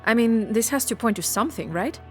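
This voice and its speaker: An actress playing a young, blunt and sceptical Inca companion in a video game cutscene. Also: female voice, a little high, lively intonation, a little fast, natural voice. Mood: puzzled, sceptical. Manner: questioning, blunt. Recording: music under the voice.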